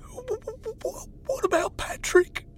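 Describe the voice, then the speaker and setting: An actor playing a broken old male prisoner in an audio drama, pleading and halting in anguish. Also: wildly swooping pitch, faint music behind, exaggerated voice. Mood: desperate, grieving. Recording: clear background noise with low rumble.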